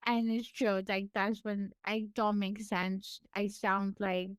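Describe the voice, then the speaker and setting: A woman from California with a naturally high-pitched voice and a slight lisp, talking in a casual interview, her words effortful and a little slurred, with frequent laughs. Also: takes it slowly, smooth, no room sound, studio quality. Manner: animated, laughs often, pushes extra hard on words. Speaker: podcast guest.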